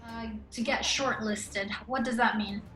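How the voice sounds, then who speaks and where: A young woman hosting a casual interview show, upbeat and quick with short encouraging replies. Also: on the high side, fairly even intonation, sharp tone. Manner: upbeat, brief encouraging backchannels. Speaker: host. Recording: echoey room with music.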